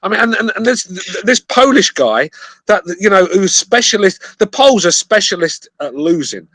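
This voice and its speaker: A man's voice: high-pitched, sing-song, normal speed, a bit bright, dry recording. A man from Britain with a British accent, talking emphatically and repeating words in an argumentative sports chat. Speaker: podcast panelist.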